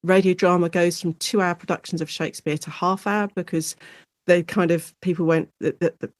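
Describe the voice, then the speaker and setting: A British woman speaking as an expert guest in a conversational interview, explaining history in an informal, animated storytelling way. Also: lowish voice, fairly quick, no room sound. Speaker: guest expert, lecturer and author on Shakespeare in radio.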